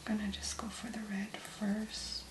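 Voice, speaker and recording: A woman doing soft-spoken ASMR make-up commentary in a breathy, mostly whispered voice, musing gently as she works. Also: medium pitch, completely flat delivery, roomy sound. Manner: slow, gentle, unhurried musing. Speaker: ASMR video creator.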